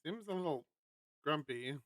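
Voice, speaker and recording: A man streamer reading a visual novel aloud and adding chatty, animated asides. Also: fairly high pitch, normal speed, no room sound, clean studio sound.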